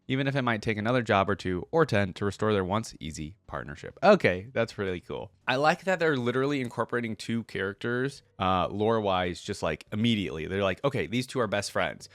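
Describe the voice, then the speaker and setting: A man's voice: fairly low pitch, no echo. A man hosting a casual reaction video, moving between reading aloud and informal conversational commentary. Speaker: reaction channel host.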